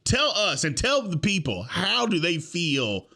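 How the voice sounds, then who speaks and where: A man's voice: a little high, wildly swooping pitch, unhurried, pushed and bright. A man with an American accent hosting a casual sports talk podcast and putting questions to his guest. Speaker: podcast host, interviewer.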